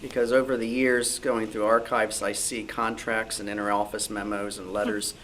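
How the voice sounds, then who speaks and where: A man's voice: fairly low pitch, level tone, normal speed, a bit bright, slight background noise, low-quality recording. A man informally telling detailed anecdotes in a knowledgeable way at a group discussion. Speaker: club discussion participant.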